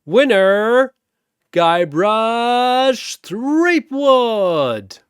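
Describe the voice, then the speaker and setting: A man's voice: extremely high pitch, big pitch swings, drawn-out words, clear, resonant tone, no room sound, pitched above the performer's own voice, full character voice. Cosmic god master of ceremonies, acted by a man: grandiose, theatrical storybook figure, dry recording. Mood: grand announcing. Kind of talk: storybook-style character voice.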